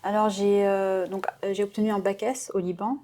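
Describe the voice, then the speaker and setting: A young woman from Lebanon, a doctoral student guest, speaks in a mellow voice. She answers hesitantly with frequent fillers and a modest manner. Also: medium pitch, flat delivery, moderate pace. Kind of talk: interview answers.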